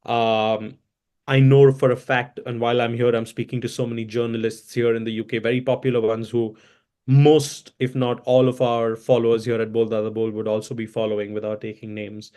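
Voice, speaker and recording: Hoarse man rambling about football. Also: mid-range voice, little pitch movement, fairly quick, warm tone. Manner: rambling, self-correcting, many fillers. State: hoarse from chanting. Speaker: interview guest, events entrepreneur. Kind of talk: casual interview answers.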